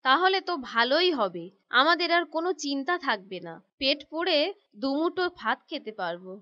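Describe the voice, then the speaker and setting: A woman voicing a gentle, devout, poor village wife in a fairy-tale cartoon, sweet and earnest. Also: sits high, very animated, brisk, dull audio, full character voice. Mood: content.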